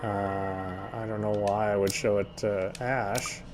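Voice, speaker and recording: A man's voice: lowish voice, level tone, slow pace. Man reading a story game aloud over music. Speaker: game streamer reading a visual novel aloud. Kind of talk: narration read aloud with side comments.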